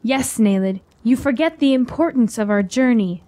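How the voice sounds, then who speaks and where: An actress playing an anxious, questioning traveler in a fantasy radio drama, with formal, earnest phrasing. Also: female voice, on the high side, normal speed, dark tone, no room sound, natural voice. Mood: firm.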